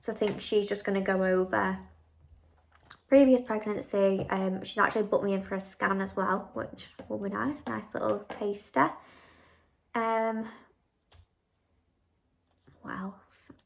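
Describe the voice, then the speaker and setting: Gravelly chatty woman vlogger. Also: mid-range voice, fairly even intonation, a little slow, long pauses, low-quality recording. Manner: chatty, lots of "like" and "um" fillers. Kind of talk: casual Q&A vlog.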